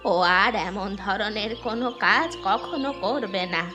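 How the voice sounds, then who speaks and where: A woman's voice: mid-range voice, measured pace, highs cut off, music in the background, natural voice. A woman playing a poor, humble, long-suffering village mother in a cartoon, plaintive and loving. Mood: pleading, apologetic. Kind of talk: Bengali fairy tale cartoon dubbing.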